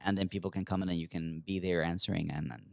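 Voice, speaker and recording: Brief: male podcast co-host, quick and inquisitive, dry close sound. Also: deep voice, flat delivery, gravelly, no echo. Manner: quick, questioning. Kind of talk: casual podcast chat.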